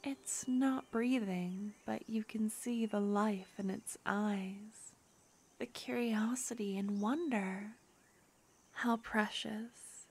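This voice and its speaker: A woman voicing a tender young necromancer girlfriend, talking in a soft whisper in a fantasy ASMR roleplay. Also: mid-range voice, fairly even intonation, slow, slightly rough.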